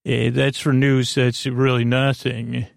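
A man's voice: mid-range voice, level tone, takes it slowly, gravelly, no room sound, clean studio sound. A man hosting a bedtime podcast in a rambling monologue, hesitant and meandering, often losing his thread and doubling back. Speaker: podcast host.

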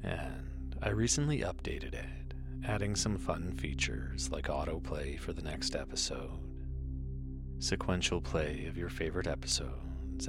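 Very deep-voiced man hosting, over music. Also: gravelly. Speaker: podcast host and founder. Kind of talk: soothing scripted podcast announcement for sleep listeners.

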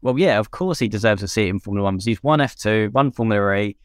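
A young man talks casually as a podcast co-host, drifting through his points with plenty of "you know"s. His voice sits a touch low and comes across smooth and clear. The recording is dry, with no room sound behind him. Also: fast talker, dry recording. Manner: conversational, many "you know" fillers. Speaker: podcast co-host, former racing driver. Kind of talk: casual chat.

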